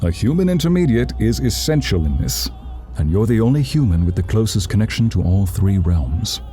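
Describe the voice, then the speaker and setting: A man's voice: lowish voice, very animated, normal speed, mellow, soft background music. A man playing a dignified, idealistic demon lord in an audio drama, with a courtly and encouraging manner.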